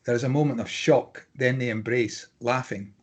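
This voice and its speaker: A man's voice: medium pitch, normal speed. A man reading stage directions as scripted narration at a drama table read.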